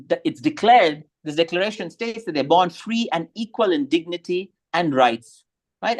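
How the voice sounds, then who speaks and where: Thoughtful man presenting slides. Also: sits high. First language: Tamil. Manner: thoughtful, rising emphasis on key points.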